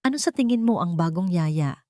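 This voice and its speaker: A woman's voice: fairly low pitch, lively intonation, brisk, dark tone, no echo, studio quality, close to an everyday voice. A woman voicing a worried, doubting well-off father in an audio storybook drama, uneasy and questioning. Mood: doubtful.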